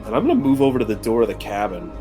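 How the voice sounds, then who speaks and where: A man talks casually as a player in a tabletop roleplaying game. His voice sits a little low, with faint music underneath. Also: big pitch swings, racing.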